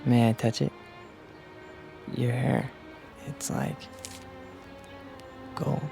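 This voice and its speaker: An actor playing a gentle, smitten young man in a radio drama, speaking tenderly and hesitantly. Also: medium pitch, flat delivery, drawn-out words, creaky, faint music behind, full character voice. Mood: shy admiration.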